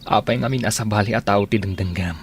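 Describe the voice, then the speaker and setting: Man acting a earnest lovelorn man, lowish voice, gentle, pleading, radio drama, slightly noisy recording. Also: quick pace, close to an everyday voice. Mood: persistent.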